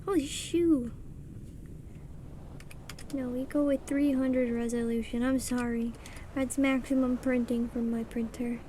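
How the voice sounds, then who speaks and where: A young woman with a soft voice chatting casually and rambling while she draws on a livestream. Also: high-pitched, steady tone, unhurried, pauses often, slight background noise. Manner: chatty, rambling, self-deprecating, mutters settings under her breath. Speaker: streamer. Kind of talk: casual chat while drawing on stream. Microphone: streaming mic.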